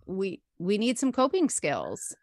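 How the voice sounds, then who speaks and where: A woman's voice: medium pitch, huge pitch swings, slow, a little mellow, no room sound, clean studio sound. A middle-aged woman, a meditation instructor, talking earnestly as a podcast guest with frequent ums. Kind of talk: casual interview chat.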